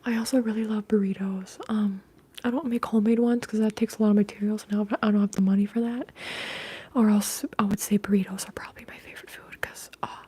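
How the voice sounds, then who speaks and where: Breathy, whispering woman doing soft-spoken ASMR. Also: mid-range voice, flat delivery. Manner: hushed, unhurried casual talk. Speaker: ASMR livestream host. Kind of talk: ASMR, chatting with live viewers.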